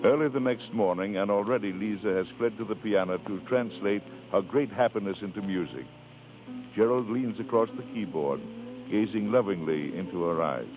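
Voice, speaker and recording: A man's voice: lowish voice, moderate pace. A man narrating a 1930s radio drama as its formal announcer. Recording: tinny old radio, music behind.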